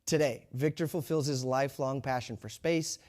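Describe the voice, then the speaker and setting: A male broadcast host introduces crew members in a steady, polished presenter style. His voice sits at a middle pitch with a scripted, even flow. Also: normal speed. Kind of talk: scripted live broadcast commentary.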